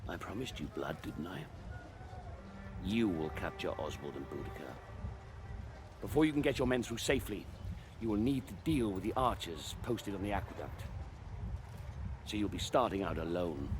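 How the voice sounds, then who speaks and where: An actor playing a gruff, commanding Roman general in a video game cutscene, with forceful oratory. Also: male voice, low voice, animated, moderate pace, pauses often, noisy background. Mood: stern, measured. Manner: forceful oratory, barking orders to troops. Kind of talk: video game cinematic acting.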